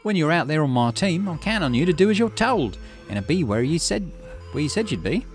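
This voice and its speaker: A man's voice: a little high, big pitch swings, fairly quick, faint music behind, pitched above the performer's own voice. A man voicing a worried woman team leader who pleads earnestly with a youngster in a visual novel. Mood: pleading. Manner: earnest, beseeching.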